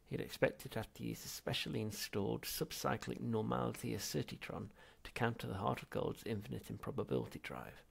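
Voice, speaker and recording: Gravelly, husky male audiobook narrator. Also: on the low side, brisk, few pauses. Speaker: audiobook reader. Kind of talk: expressive literary narration of comic science fiction.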